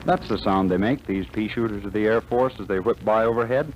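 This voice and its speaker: A man's voice: lowish voice, a little fast, highs cut off, a little hiss or hum. Dramatic old-time radio narrator, man. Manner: dramatic, announcer-like.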